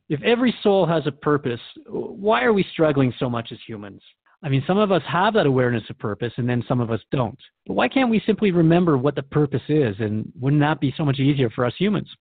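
A man's voice: a little high, big pitch swings, fast talker, vocal fry, dry recording, phone-quality sound, full character voice. A man playing a curious, polite radio host in an audio drama, interviewing in a courteous, conversational way. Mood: inquisitive, agreeable.

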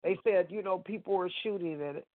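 A woman's voice: fairly low pitch, brisk, no echo. Woman co-host, stuffy nose, emphatic testimony style, dry tinny phone line. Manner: emphatic testifying, talks straight to listeners. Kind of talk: faith talk radio chat.

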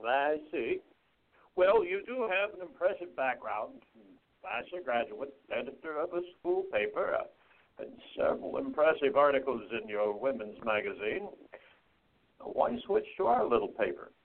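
A man playing a brisk, skeptical newspaper editor in a 1920s radio play, businesslike and questioning. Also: medium pitch, very animated, gravelly. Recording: tinny narrow-band phone-like audio.

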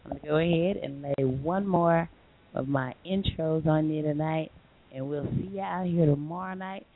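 A woman's voice: sits low, moderate pace. A woman hosting a late-night call-in radio show. She argues back emphatically and repeats herself. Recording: tinny phone line with faint hiss.

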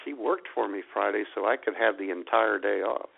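A man co-hosting an internet radio show in casual, playful comedic banter, with a gravelly voice. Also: lowish voice, brisk. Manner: playful, joking. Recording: dull lo-fi internet radio sound.

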